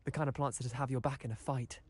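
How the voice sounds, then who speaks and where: An actor playing a cheeky, eager teenage wizard schoolboy with a British accent and chatty, cocky manner in a video game. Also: male voice, mid-range voice, steady tone, quick pace, a little mellow, slightly rough, lightly acted. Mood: cheeky, keen. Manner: chatty, boyish, colloquial, cocky. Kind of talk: video game character acting.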